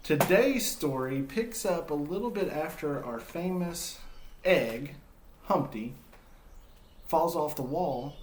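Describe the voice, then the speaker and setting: Expressive man hosting a children's read-aloud. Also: on the high side, takes it slowly, echoey room, slight background noise. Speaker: read-aloud storyteller and host.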